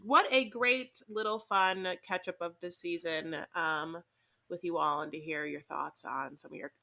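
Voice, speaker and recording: A woman co-hosting a casual podcast, talking in an animated, playful way and calling people honey. Her voice is bright and forceful. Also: fairly low pitch, measured pace, gravelly. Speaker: podcast co-host. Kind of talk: casual chat.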